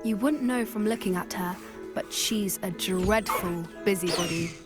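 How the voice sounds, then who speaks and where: A woman playing an earnest, secretive teenage schoolgirl who loves magical beasts in a video game, with a gentle manner and a British accent. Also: mid-range voice, measured pace, lightly acted. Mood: fond, proud. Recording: game music underneath. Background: game music.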